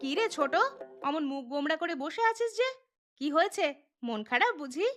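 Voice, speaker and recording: A bustling cartoon mother played by a woman, sharp-tongued yet caring. Her voice is high and bossy in a motherly way. Music is clearly audible behind her. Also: lively intonation, a little fast. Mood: comforting. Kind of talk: cartoon dialogue. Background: background music.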